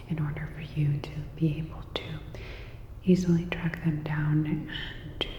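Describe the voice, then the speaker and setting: A woman whispering a scripted sci-fi ASMR roleplay as a calm, clinical robot repair technician, soft, breathy and gently instructive. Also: low-pitched, reverberant, long reverb tail.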